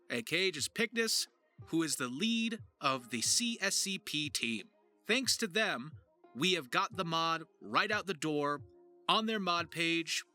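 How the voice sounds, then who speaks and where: Bright, animated man narrating a video. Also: fairly high pitch. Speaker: voice actor and video creator. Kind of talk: upbeat scripted video narration.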